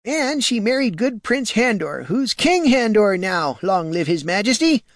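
A man voicing a gnarled, aggrieved old farmer with a high, strained rustic voice in an audiobook. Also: big pitch swings, a little slow, no echo, clean studio sound, exaggerated voice. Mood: wheedling. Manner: pleading, indignant outbursts. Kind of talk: audiobook character voice.

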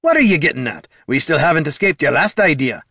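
A man voicing a gruff, irritable dwarf warrior in a fantasy audiobook, barking and snapping in salty rustic speech with a growl. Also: mid-range voice, moderate pace, a bit bright, no room sound, clean studio sound, pitched above the performer's own voice.

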